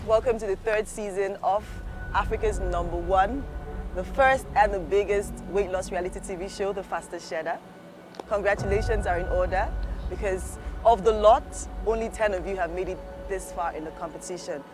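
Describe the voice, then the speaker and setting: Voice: medium pitch, normal speed. Upbeat show host over music. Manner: upbeat, emphatic announcer. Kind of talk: scripted presenting.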